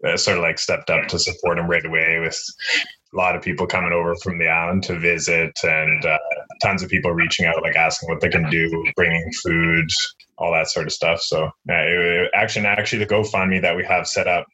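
A young man chats as a podcast guest. His voice is low yet bright and forward. He talks loosely and casually, with plenty of fillers. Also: flat delivery, a little fast, breathy edge, poor audio. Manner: easygoing, loose, with many fillers. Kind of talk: casual interview answers.

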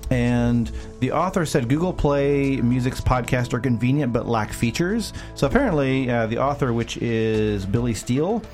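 A man's voice: mid-range voice, lively intonation, normal speed, hardly any pauses, darkish tone, faint music behind. A man co-hosting a casual radio-style podcast, his voice scratchy from a lingering cough, talking loosely with jokes and fillers. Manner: loose, joking, many fillers. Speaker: podcast co-host. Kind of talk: casual radio-show chat. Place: office studio. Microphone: studio mic.

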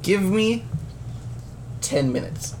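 A man's voice: sits high, animated, takes it slowly, creaky, a little mellow, slight background noise. A man playing a tabletop game, goofy and argumentative, who keeps slipping into other people's accents. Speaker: player. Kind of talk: casual tabletop play.

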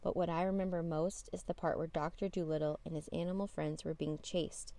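A woman reading a children's picture book aloud as a storytime host, with expressive emphasis on key words. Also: low voice, fairly quick, creaky. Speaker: storytime podcast host and reader.

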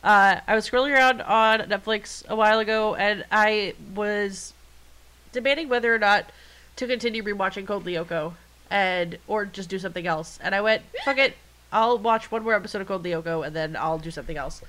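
A woman's voice: medium pitch, moderate pace, a bit bright, poor audio. Congested chatty woman podcaster. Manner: chatty, jokey, rambling storytelling. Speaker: podcast co-host. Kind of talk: casual chat.

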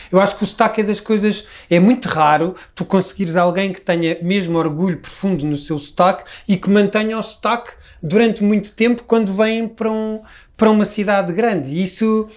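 A middle-aged man from Lisbon with a Lisbon Portuguese accent, talking as a guest in a casual, reflective conversation full of restarts. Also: sits high. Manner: reflective, rambling with many restarts.

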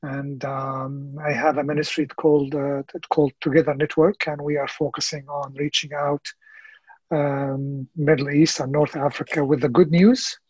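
A man, a ministry leader talking in a halting, earnest way with many fillers as a podcast guest. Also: a little high, flat delivery, darkish tone, slightly rough, no echo, muffled recording. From Egypt. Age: late 50s. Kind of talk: casual interview conversation.

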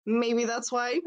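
Swedish teen girl with a cold, lo-fi. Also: a little high. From Sweden. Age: teens. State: has a cold, sniffling. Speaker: podcast co-host. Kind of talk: casual chat.

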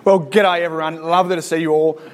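This is an Australian man in his thirties with a slightly higher voice, telling his life story to an audience. He mixes dry, self-deprecating jokes into his answers, like a guest speaker who is comfortable in front of a crowd. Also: flat delivery, fast. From Sydney, Australia. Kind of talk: live interview and personal testimony to an audience.

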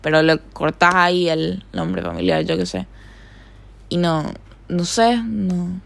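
Young Paraguayan woman, podcast host, low voice, vocal fry, rambling casual talk. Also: big pitch swings, slow. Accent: Paraguayan Spanish with some Argentine tone. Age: young adult. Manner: rambling, chatty, filler-heavy. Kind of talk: casual solo podcast monologue. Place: her bedroom.

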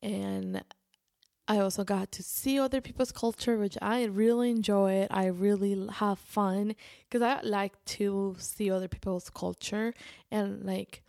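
Creaky-voiced woman chatting casually. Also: mid-range voice, steady tone, a little mellow, no room sound, clean studio sound. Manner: informal, halting, restarts sentences and quotes herself often. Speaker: vlogger. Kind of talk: casual personal storytelling to camera.

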